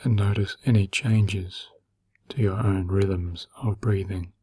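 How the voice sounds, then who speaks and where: Low, husky man guiding meditation. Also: fairly even intonation. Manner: slow, gentle, soothing, with long pauses. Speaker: meditation guide. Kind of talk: guided meditation narration.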